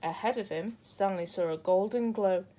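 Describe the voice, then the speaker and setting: A woman's voice: medium pitch, normal speed, mellow, a little hiss or hum. A woman narrating a children's picture book as a storybook reader.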